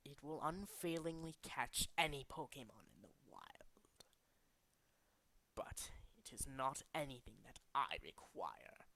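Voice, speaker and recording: Man acting a slow, grandiose cold villain boss. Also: medium pitch, animated, gravelly, sharp tone, heavily acted. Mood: cold, quiet, aloof. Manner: grandiose, deliberate, menacing. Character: cold, megalomaniac male villain boss bent on remaking the world. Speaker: amateur voice actor in demo reel. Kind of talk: dramatic video game villain monologue.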